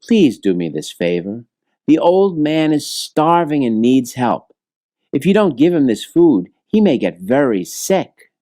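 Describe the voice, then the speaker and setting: A man voicing a kindly, poor beggar who pleads gently and earnestly in a children's storybook. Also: mid-range voice, sing-song, a little slow, no room sound, studio quality. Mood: pleading. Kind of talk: children's storybook read-aloud.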